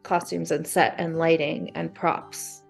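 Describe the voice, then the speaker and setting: A woman in a casual brainstorming chat, talking in an animated way and acting out her thoughts as she goes. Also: low voice, a little slow, creaky, husky, soft background music. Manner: animated, acts out imagined thoughts and exclamations. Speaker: guest being coached through writer's block.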